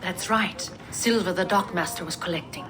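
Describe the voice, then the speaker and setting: A woman's voice: on the low side, moderate pace, crowd noise, natural voice. A woman playing a stoic, loyal ally from the Viking age in a dramatic game cutscene. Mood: matter-of-fact.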